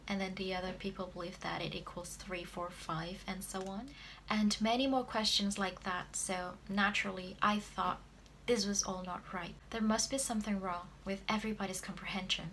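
A woman's voice: mid-range voice, fairly bright tone, a little hiss or hum. A woman in her twenties with an Indonesian accent, telling a personal story to camera in a room indoors. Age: 20s. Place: small plain room indoors.

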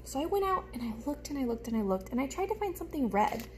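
A woman's voice: sits high, very animated, fast, a little mellow, slight background noise. A young woman teacher giving a show-and-tell lesson to small children, explaining things simply and expressively. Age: young adult. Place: small room at home, indoors. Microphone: phone held close in front.

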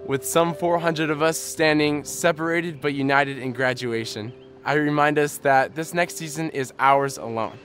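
A man's voice: mid-range voice, fairly bright tone. A young man giving an earnest, formal graduation speech to his fellow graduates in an outdoor setting. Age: young adult. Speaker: graduating student speaker. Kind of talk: prepared commencement speech. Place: backyard outdoors.

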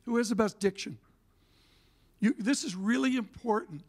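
A man's voice: high-pitched, normal speed, slight background noise. An older man speaking emphatically on a conference stage, gesturing as he holds a handheld mic. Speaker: panelist, TV and media figure. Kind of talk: conference panel talk.

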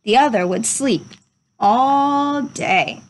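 A woman's voice: high-pitched, animated, slow pace. A young adult woman reading and talking to children as a storyteller, in a simple, instructive way. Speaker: read-aloud storyteller for children. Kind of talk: children's picture book read-aloud and chat to young viewers. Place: outdoors under a covered wooden pavilion.

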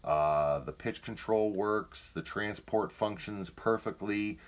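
A man's voice: lowish voice, takes it slowly. A man giving a casual, plain-spoken product demonstration indoors, speaking informally from off camera.

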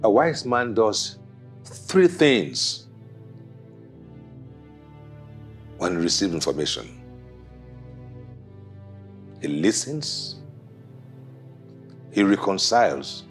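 An actor with a Nigerian accent playing a stern, mature father who speaks with deliberate authority in a screen drama. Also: low-pitched, big pitch swings, faint music behind, full character voice. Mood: firm, wise. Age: middle-aged or older. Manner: deliberate, commanding.

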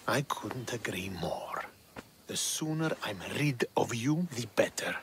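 An actor playing a bitter, proud young Spartan commander in a video game, speaking in a scornful, commanding, clipped way. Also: male voice, medium pitch, lively intonation, measured pace, a little hiss or hum. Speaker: military commander. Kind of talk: dramatic video game cutscene acting.

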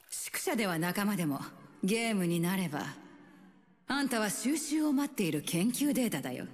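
An actress voicing a brisk, motherly, teasing and quietly ruthless middle-aged woman scientist in a game dub, with playful, theatrical phrasing. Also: fairly high pitch. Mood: teasing, coolly warning. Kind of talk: Japanese game dub voice lines.

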